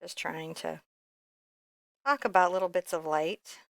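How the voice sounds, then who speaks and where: Low-voiced woman teaching painting, clean and dry. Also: unhurried, creaky, no room sound. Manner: candid, informal, rambling asides. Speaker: painting instructor. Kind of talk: casual step-by-step painting tutorial, thinking aloud.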